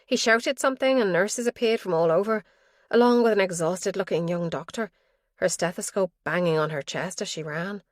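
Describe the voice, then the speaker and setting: A woman narrating an audiobook thriller in a steady, measured way. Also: fairly low pitch, dry recording, clean studio sound. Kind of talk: scripted narration.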